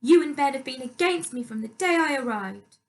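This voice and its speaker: A woman's voice: expressive, fairly quick. A woman voicing a spiteful, petulant stepmother with a whiny voice in a dramatic storybook reading. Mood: shrill, accusing. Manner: petulant, shrill outbursts.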